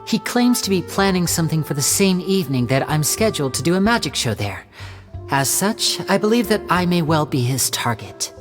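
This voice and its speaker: Polite young stage magician. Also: male voice, on the high side, normal speed. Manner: courteous, smoothly flattering. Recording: reverb with game music.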